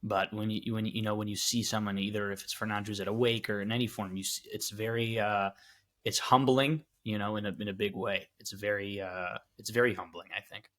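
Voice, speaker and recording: A man's voice: lowish voice, flat delivery, brisk, a bit bright. A young man co-hosting a podcast, speaking reflectively and hesitantly in casual chat. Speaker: podcast co-host.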